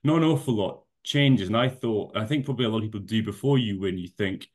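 A low-pitched man from Scotland chatting as a podcast guest. He talks in a loose, rambling way, circling back with "you know" and half-started phrases, and his voice sits deep and steady. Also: quick pace. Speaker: podcast guest, bodybuilding competitor. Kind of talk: casual conversational interview.

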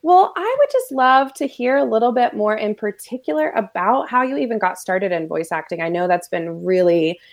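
A woman's voice: a little high, animated, moderate pace, hardly any pauses. A middle-aged woman hosting a local business podcast, reading an introduction and then chatting with her guest in an upbeat way. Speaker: podcast host.

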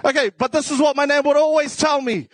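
High-pitched South Auckland man, joking. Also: fairly even intonation, fast talker, a little hiss or hum. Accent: South Auckland New Zealand. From South Auckland, New Zealand. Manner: joking, self-deprecating, slangy. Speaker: comedian telling his story on stage. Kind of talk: casual comedic live storytelling.

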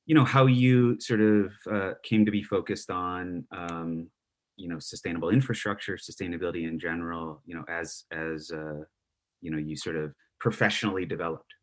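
A man hosting an interview in a conversational way, rambling with many fillers and restarts and trailing off quietly. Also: deep voice, steady tone, normal speed. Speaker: podcast host.

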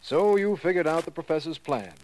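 An actor playing an earnest, impressed district attorney, asking questions in 1940s radio drama style. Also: male voice, on the high side, expressive, a little fast, a little mellow, slight background noise, lightly acted, rough recording. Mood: earnest, inquiring. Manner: earnest, inquiring.

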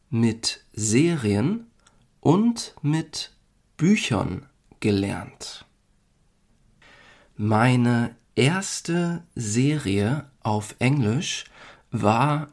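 Guy from germany doing a learner podcast, super slow and careful, mid pitched voice. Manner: slow, deliberate, clearly spaced phrases. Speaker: podcast host teaching German. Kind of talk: slow scripted lesson for learners.

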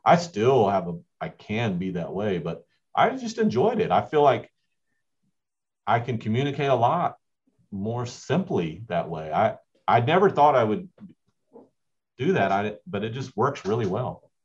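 A man's voice: lowish voice, very animated, measured pace. A man, a school basketball coach, answering interview questions in a rambling way with many restarts. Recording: dull lo-fi audio.

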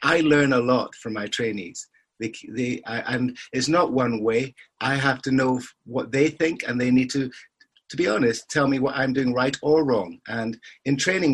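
An older man being interviewed on a podcast, his voice husky and of middling pitch. He talks in an anecdotal, conversational way and slips in dry humour. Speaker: surgeon and podcast guest.

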